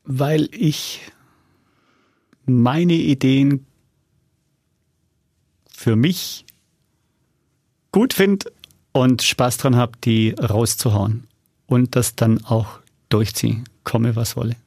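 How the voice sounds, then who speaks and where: Male interview guest, casual talk with a creaky, gravelly edge, recorded dry. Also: mid-range voice, drawn-out words, long pauses, darkish tone, no echo. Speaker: podcast guest, audio drama producer.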